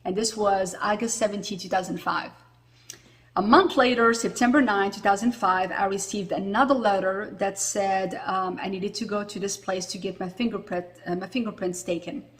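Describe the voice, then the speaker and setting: A woman's voice: mid-range voice, steady tone, normal speed. A woman in her forties from Morocco, talking casually to camera as a vlogger, with a lot of filler words. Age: 40s. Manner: chatty, with frequent fillers.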